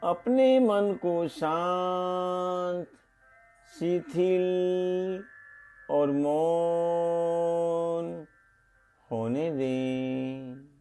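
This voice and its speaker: Very slow, mellow male meditation guide. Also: on the high side, clear, resonant tone. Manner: soothing, deliberate, with pauses and gentle repetition. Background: meditation music.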